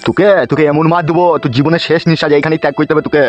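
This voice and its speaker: A man's voice: fairly high pitch, little pitch movement, very fast, muffled recording, close to an everyday voice. A man voicing an angry middle-aged village politician in a comedy cartoon, domineering and threatening. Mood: furious. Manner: threatening, foul-mouthed, domineering. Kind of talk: amateur comedy cartoon dialogue. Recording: music behind the voice.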